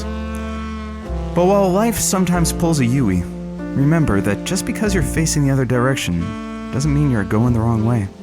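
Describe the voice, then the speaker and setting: An actor playing a radio producer filling in as host of a lonely-hearts show, wry and philosophical, a little hesitant in conversation. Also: male voice, medium pitch, lightly acted. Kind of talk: radio call-in monologue and banter.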